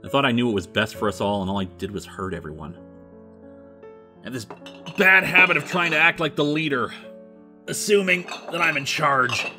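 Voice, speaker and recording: A man's voice: mid-range voice, animated, normal speed, pauses often, over music, close to an everyday voice. A man playing an earnest, self-critical leader of an embattled group in a web film, speaking emphatically. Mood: remorseful, sincere. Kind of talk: scripted web film dialogue.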